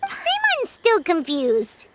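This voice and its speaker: A woman playing a tiny, childlike fairy companion in a video game, excitable, exclamatory and easily confused. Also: very high voice, takes it slowly, heavy background noise, full character voice. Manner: refers to herself in the third person, exclamatory. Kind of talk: video game character acting.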